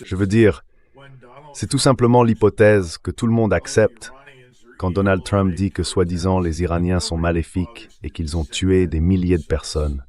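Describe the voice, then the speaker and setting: Voix d'homme synthétique, très grave. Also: male voice, low voice, steady tone, normal speed, a little mellow. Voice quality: synthetic AI dubbing voice. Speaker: interview guest, political commentator. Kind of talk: political commentary in a dubbed interview, read by an AI voice.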